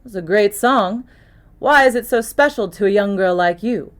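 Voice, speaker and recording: A woman's voice: mid-range voice, normal speed, lightly acted. Woman as dry, wry female detective. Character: wry, tough woman detective in cowboy boots. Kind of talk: acted audiobook dialogue.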